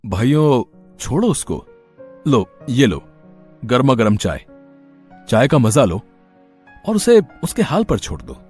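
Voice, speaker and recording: A man voicing a kind, worried, fatherly older tea-stall uncle in a cartoon moral story, with a husky voice. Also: medium pitch, big pitch swings, slow, long pauses, a little mellow, soft background music, exaggerated voice. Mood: calming, dismissive.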